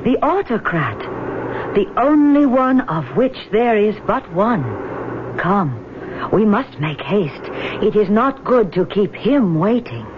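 An actress playing a composed, commanding woman guide from Atlantis in an old radio drama, speaking formally and with measured dignity. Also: mid-range voice, big pitch swings. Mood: calm, commanding. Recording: dull, noisy old radio recording.

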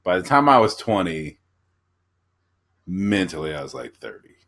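Animated joking man in his thirties. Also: low-pitched, normal speed. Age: 30s. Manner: joking, animated, acts out bits. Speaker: podcast co-host. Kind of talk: casual chat.

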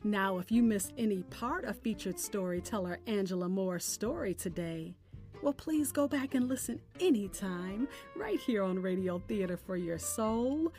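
A woman hosting a podcast, delivering scripted announcements and poetry in a deliberate, expressive way. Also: mid-range voice. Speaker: podcast host. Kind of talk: scripted show sign-off and poetry recitation. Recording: music bed under the voice. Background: music.

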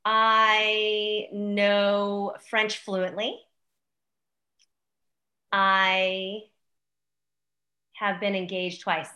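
A middle-aged woman chatting as an interview guest, an animated, expressive storyteller, recorded in an echoey room at home. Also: mid-range voice, very slow, pauses often. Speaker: podcast guest, real estate agent and former news anchor. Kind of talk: casual interview chat. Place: room in a new house.

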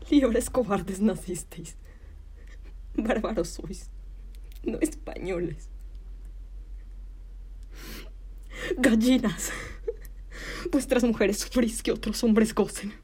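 A woman performing a classical Spanish verse monologue as a wronged, defiant young village woman, declaiming slowly and with anguish in a breathy, husky voice. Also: mid-range voice, dark tone. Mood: scornful, bitter. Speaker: drama student actor.

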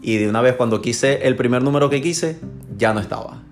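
A Venezuelan-accented man with a medium-pitched voice. He recounts things casually as a client being interviewed, over faint background music. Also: fairly quick. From Maracaibo, Venezuela.

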